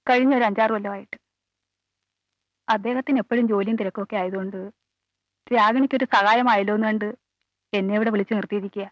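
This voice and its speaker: An actress playing a guarded, loyal aunt who lives with the family, answering carefully and matter-of-factly in a film drama. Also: female voice, medium pitch, steady tone, fast talker, long pauses, no echo, natural voice. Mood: polite, plain. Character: guarded, loyal female relative living in the household. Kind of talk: Malayalam film dialogue. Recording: dull old film soundtrack, faint music.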